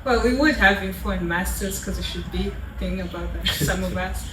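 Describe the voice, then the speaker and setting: A young woman, a college student hosting a casual panel, lively and teasing. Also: mid-range voice, brisk. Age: young adult. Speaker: host. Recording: roomy, from a distance, background noise.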